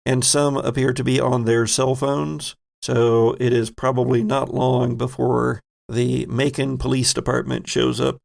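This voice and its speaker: A man with a creaky, medium-pitched voice acts as game master. He narrates scenes off the cuff and teases his players as he goes, keeping it casual. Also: flat delivery, measured pace, hardly any pauses.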